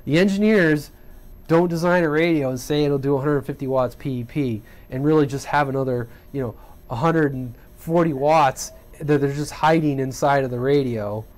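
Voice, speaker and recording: A man's voice: medium pitch, moderate pace, a little mellow. A man giving a casual, rambling tutorial at his home radio workbench, often correcting himself and checking in with "right?". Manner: rambling, self-correcting, conversational with frequent "right?". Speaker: CB radio hobbyist demonstrating equipment.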